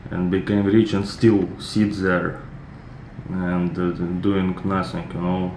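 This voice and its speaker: A Russian man in his thirties, reacting to a film in relaxed, rambling chat with many fillers. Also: deep voice, takes it slowly. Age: 30s. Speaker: reaction video host. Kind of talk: casual commentary. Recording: echoey room with clear background noise.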